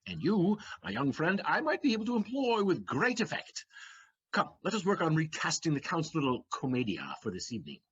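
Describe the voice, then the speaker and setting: A swaggering, shrewd manservant acted by a man speaks in a high, husky voice that swings widely as he declaims. He is mocking and eloquent in the manner of a stage comedy, heard on a slightly dull audio play recording. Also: wildly swooping pitch, a little fast, a bit bright, heavily acted. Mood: scheming. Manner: declamatory, mocking, dramatic emphasis.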